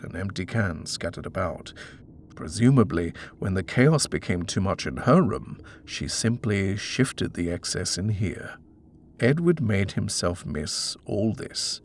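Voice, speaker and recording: A man's voice: on the low side, vocal fry, slightly rough. A man narrating a scripted horror story as an audiobook reader.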